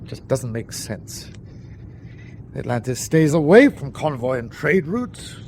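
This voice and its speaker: An actor playing a stern, sardonic German U-boat captain in a wartime radio drama, commanding, clipped and dryly sarcastic. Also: male voice, medium pitch, big pitch swings, measured pace, background noise. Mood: puzzled, grave.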